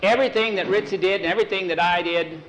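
Man playing earnest boy, slightly raised pitch. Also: fast talker, fairly bright tone. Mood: proud, earnest. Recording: clearly noisy recording.

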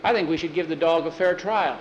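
A man voicing a reasonable, firm farmer in a told story, reasoning in a measured way. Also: a little high, dull audio, a little hiss or hum, voice pushed up, lightly acted. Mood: resolute. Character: reasonable, firm farmer defending a boy and dog.

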